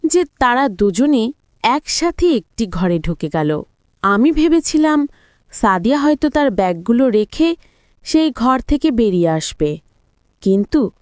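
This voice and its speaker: A woman narrates a scripted story in the first person, in a measured, dramatic way. Her voice sits a little high, and the sound is dry and close, with hardly any room on it. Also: no room sound.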